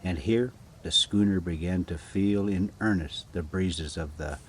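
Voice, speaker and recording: Slow, low-voiced man reading aloud. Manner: halting, stumbles over foreign names. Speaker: host reading a story aloud. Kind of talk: casual storybook read-aloud. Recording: noticeable background noise.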